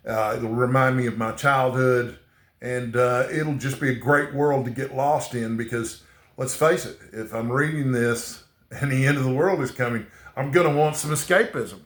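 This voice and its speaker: A man's voice: medium pitch, fairly even intonation, roomy sound. A man with a slight Texan accent chatting casually to camera, rambling and often tagging his sentences with "okay?". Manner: rambling, repeats "okay?" often, halting restarts. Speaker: booktuber.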